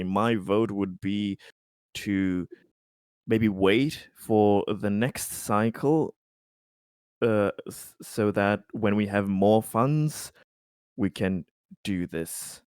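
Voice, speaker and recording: A man playing a cautious, budget-minded colony council member in a tabletop game, speaking haltingly and deliberately. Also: fairly low pitch, darkish tone, smooth, dry recording, clean studio sound. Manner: hesitant, halting, deliberative delivery. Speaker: player in a tabletop roleplaying game. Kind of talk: improvised in-character tabletop roleplay.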